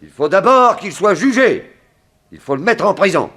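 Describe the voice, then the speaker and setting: An actor playing a firm, authoritative sheriff with a formal, commanding manner in a French radio drama. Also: male voice, high-pitched, big pitch swings, normal speed, crowd noise. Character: firm male sheriff, authoritative upholder of the law.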